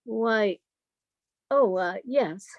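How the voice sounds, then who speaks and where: Yearning country spinster, thirties woman acting, earnest higher voice, dry studio sound, radio melodrama. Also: drawn-out words, no echo, dull audio. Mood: nervous, evasive.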